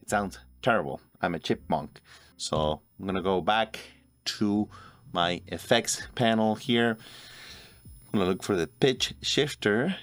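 A man's voice: on the low side, a little slow, fairly bright tone. A man in his forties narrating a video editing tutorial step by step as host. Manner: step-by-step, instructional. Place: indoor room at a computer. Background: music.

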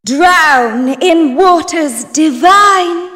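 An actress playing a playful, mysterious goddess of fate in a fantasy video game, with lilting, theatrical pronouncements. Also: female voice, very high voice, lively intonation, very slow, bright, forceful tone, full character voice. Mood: serene, grand. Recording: long reverberant hall effect.

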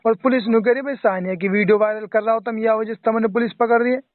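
A man with a Mewati accent talks in a high-pitched voice on a dull, dry phone recording. He is exasperated and emphatic, in a casual, chatty way. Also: steady tone, quick pace, dry recording. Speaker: phone call participant. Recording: band-limited phone call recording.

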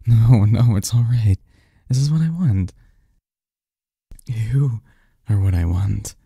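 Man acting a dark, husky lovestruck young man. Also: fairly low pitch, lively intonation, dry recording, full character voice. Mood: earnest, pleading. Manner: halting, breathy, murmured confession with stammers. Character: lovestruck young male research assistant confessing to his best friend. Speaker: audio roleplay performer. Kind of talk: intimate ASMR romance roleplay.

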